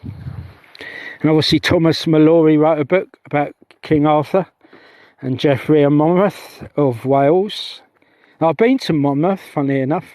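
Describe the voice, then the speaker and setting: A man's voice: a little high, a little slow, darkish tone, dry recording. A middle-aged man livestreaming outdoors on a heath, talking in a rambling, meandering way that keeps restarting itself. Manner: chatty, meandering, self-interrupting. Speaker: UFO investigator vlogger.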